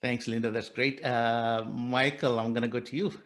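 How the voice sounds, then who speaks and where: A man's voice: mid-range voice, fairly even intonation, moderate pace. A man moderating a panel discussion, cordial and welcoming, speaking with many fillers and restarts.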